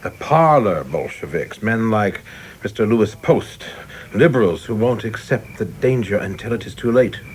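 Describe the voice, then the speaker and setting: A man's voice: fairly low pitch, lively intonation, normal speed, darkish tone, husky. An actor playing an ambitious, rigid young male government official in a radio play, speaking formally, precisely and earnestly. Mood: dutiful, intense.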